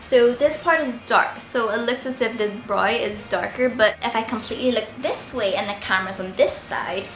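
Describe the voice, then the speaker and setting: A young woman with a high, squeaky voice roughened slightly by a sore throat chats in a playful, giggly way. Her tone is bright and forceful, and she sounds seated in an echoey room with soft music in the background. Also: normal speed, few pauses. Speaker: vlogger. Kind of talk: casual Q&A vlog. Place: indoors at home, seated by window light.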